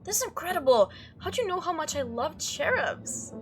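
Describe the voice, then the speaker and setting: A woman hosting a gaming video, giving playful, expressive commentary and reading narration aloud over game music. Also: sits high, normal speed, slight background noise. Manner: expressive, animated.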